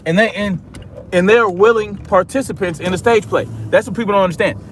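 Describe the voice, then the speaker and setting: A man's voice: high voice, fairly quick, a little hiss or hum. An American man giving casual political commentary as a livestream host, emphatic and repeating phrases for stress.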